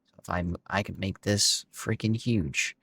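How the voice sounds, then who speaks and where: A man with a hoarse, raspy voice from being sick, giving a relaxed, casual walkthrough of game add-ons and talking straight to his viewers. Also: low-pitched, measured pace, dry recording, clean studio sound. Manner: relaxed, frequent "uh" and "um", addresses viewers as y'all. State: hoarse from illness. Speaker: gaming video creator.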